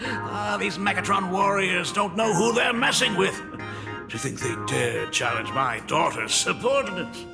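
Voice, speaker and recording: A man's voice: high voice, lively intonation, unhurried, creaky, heavily acted. A man voicing a doting, fretful middle-aged school dean in a video game, with a theatrical, fussing manner. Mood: proud, boastful. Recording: long reverb under background music.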